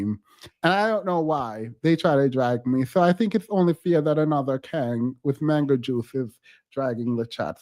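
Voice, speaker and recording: A man's voice: fairly high pitch, darkish tone, no room sound. Teasing man chatting on a livestream. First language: not English. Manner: playful, teasing, drawn-out emphatic words. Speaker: livestream host. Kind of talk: casual livestream chat.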